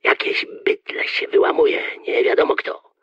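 An actor playing a gruff, agitated conspirator in a radio drama, raspy and clipped, through a telephone filter. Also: male voice, measured pace, a bit bright, highs cut off. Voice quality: very raspy, gravelly.

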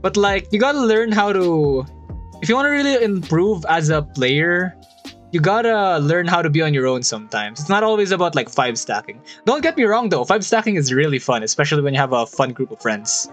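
A man's voice: on the high side, fairly quick, faint music behind. A young man, hosting and reading viewer comments in a joking, casual chat, recorded on a desk mic in a small room. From Philippines. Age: young adult. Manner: joking, laughs often, animated hand gestures. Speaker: channel host reading comments. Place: small room with a curtain behind. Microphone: headset and desk mic.

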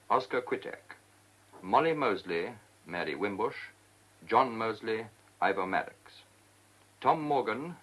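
A man announcing formally on the radio in a scripted, measured style. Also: lowish voice, fairly bright tone, husky, poor audio.

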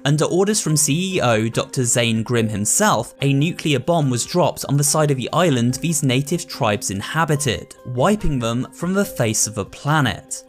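Mężczyzna opowiadający historię gry. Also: male voice, medium pitch, normal speed, few pauses, soft background music. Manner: steady, explanatory. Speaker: narrator. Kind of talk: scripted lore narration.

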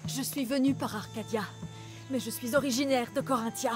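A woman's voice: fairly high pitch, fairly even intonation, normal speed, over music, natural voice. An actress playing a wounded young female smuggler in a French game dub, weary and sardonic. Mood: guarded.

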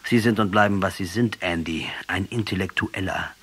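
An actor playing a defiant, ironic revolutionary commander in a radio drama, speaking emphatically and rhetorically. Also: male voice, sits low, normal speed, fairly bright tone, husky. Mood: scornful.

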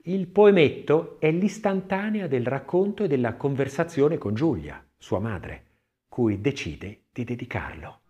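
A man reads literature as a narrator, in a declamatory, theatrical manner that moves between swelling and hushed phrases. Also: mid-range voice, big pitch swings, unhurried, highs cut off. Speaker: audiobook narrator. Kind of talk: dramatic literary reading of poetry and scripted narration.

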